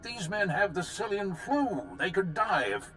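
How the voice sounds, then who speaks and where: A haughty defensive army commander in a video game, highish voice that jumps around, music under it. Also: male voice, lively intonation, measured pace, a bit bright. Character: self-serving, blustering military commander, a man.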